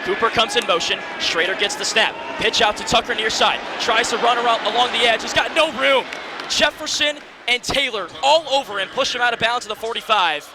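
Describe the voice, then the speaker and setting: High-pitched young male play-by-play announcer over crowd. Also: a little fast. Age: early twenties. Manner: vivid, present-tense calls in short clipped phrases. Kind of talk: live college football radio commentary. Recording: stadium crowd and loud background noise.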